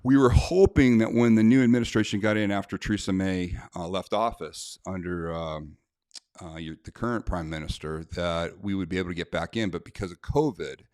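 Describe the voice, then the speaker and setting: Brief American man, deep voice, indignant straight talk, close dry mic. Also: brisk, a little mellow, clean tone, no room sound. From United States. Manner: blunt, indignant, conversational with many fillers. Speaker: self-defense instructor. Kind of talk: casual talk to camera, reading a letter aloud.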